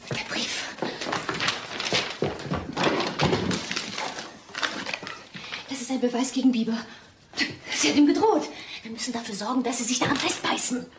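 An actress playing a murder suspect's scheming, fearful mistress in a crime radio drama, with urgent delivery. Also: female voice, fairly high pitch, brisk, noisy background, lightly acted.

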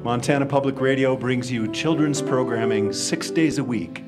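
A man's voice: mid-range voice, normal speed. A man reading a scripted radio promo as an announcer. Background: loud guitar music.

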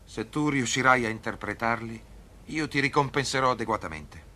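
A man's voice: medium pitch, normal speed, bright, forceful tone, lightly acted. A man playing a commanding, troubled Egyptian pharaoh in a cartoon, speaking solemnly and declaiming. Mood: grave, narrating dreams. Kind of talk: dubbed cartoon acting.

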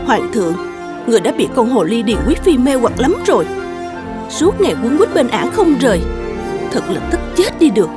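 A woman's voice: a little high, very animated, moderate pace, music in the background. A woman voicing a jealous, imperious empress in a historical court cartoon. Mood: resentful. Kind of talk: dubbed historical cartoon acting.

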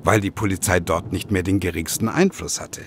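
An actor playing a grave former detective who recounts his past in a measured, dramatic way in a German radio play. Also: deep voice, fairly even intonation, slight background noise. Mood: grave, ominous.

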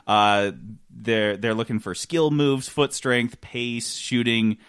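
A man's voice: mid-range voice, slow, a bit bright, clear, resonant tone. A man co-hosting a gaming podcast in casual comic banter, sarcastic and irreverent, swearing freely. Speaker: podcast co-host.

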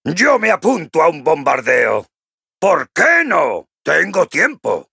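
A man with a Castilian accent from Spain voicing a boisterous, cocky, jovial dwarf warrior for a video game, gruff and raspy, hearty and full of exclamations. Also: on the high side, wildly swooping pitch, slow, bright, forceful tone, no echo, clean studio sound, heavily acted. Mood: hearty, eager. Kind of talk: video game character voice lines.

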